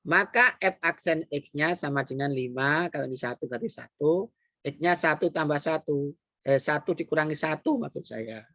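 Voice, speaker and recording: A man's voice: fairly high pitch, a bit bright, dry recording. Hesitant East Javanese male math teacher. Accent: East Javanese Indonesian. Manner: hesitant, frequent "eh" fillers, repeats key points; addresses students directly, step-by-step. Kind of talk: recorded classroom math explanation.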